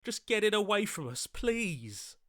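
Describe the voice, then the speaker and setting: Anxious young hero, swinging pitch. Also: male voice, sing-song, a little slow, sharp tone, no echo, studio quality, full character voice. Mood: frightened. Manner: hesitant, stammering, pleading. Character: anxious, earnest young male hero, an inner voice. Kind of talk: video game voice acting.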